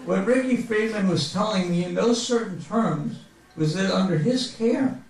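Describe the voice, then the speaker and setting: A man's voice: high voice, moderate pace, a little hiss or hum. A man reading his humorous memoir aloud to a live audience as a comic, mock-grandiose storyteller, recorded from a distance in a very echoey room. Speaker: author reading from autobiography. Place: bookstore reading event before an audience.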